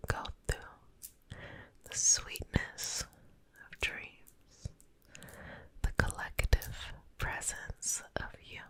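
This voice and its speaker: A young adult woman whispering an ASMR guided healing session, affectionate and chatty, calling listeners babies. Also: sharp tone. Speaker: energy healing teacher.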